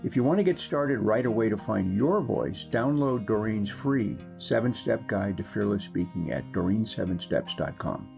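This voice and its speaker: A man's voice: on the low side, animated, moderate pace, vocal fry, few pauses. A man reading a scripted podcast introduction as announcer. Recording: guitar music underneath.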